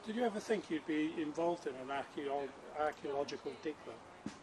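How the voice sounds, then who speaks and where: A man, a cameraman interviewing, asking questions haltingly with fillers. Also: on the high side, animated, darkish tone, low-quality recording.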